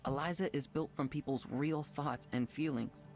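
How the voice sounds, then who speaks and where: A man's voice: a little high, steady tone, moderate pace, darkish tone, soft background music, close to an everyday voice. An actor playing an earnest, conflicted young man who leads a tech program, hesitant and apologetic, in naturalistic visual novel dialogue. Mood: uneasy. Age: young adult. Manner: earnest, hesitant, self-doubting.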